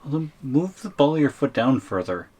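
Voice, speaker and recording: A man's voice: mid-range voice, sing-song, moderate pace, darkish tone. A man acting a tiny, shrunken captive boyfriend in an ASMR audio roleplay, reluctant and wary, with a hesitant, wry way of protesting. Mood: grudging.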